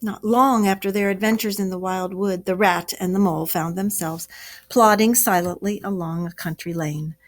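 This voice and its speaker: A woman teacher reading a children's story aloud at home. Her voice sits at a middle pitch, and she reads slowly and expressively, shaping each phrase for young listeners. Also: steady tone.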